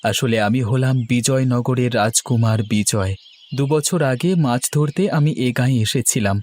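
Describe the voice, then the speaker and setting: A man's voice: medium pitch, moderate pace, a little mellow, natural voice. A man voicing a courteous young prince in a cartoon, speaking in an earnest, formal way. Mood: earnest, grateful.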